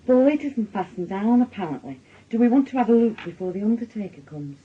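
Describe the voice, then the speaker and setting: An anxious, kindly Northern English relative in a radio play. Her voice sits fairly high, with a husky grain and lively rises and falls. The recording is slightly dull. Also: brisk. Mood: subdued, concerned. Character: concerned, pleading working-class female relative, anxious and kindly. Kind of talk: naturalistic radio drama acting.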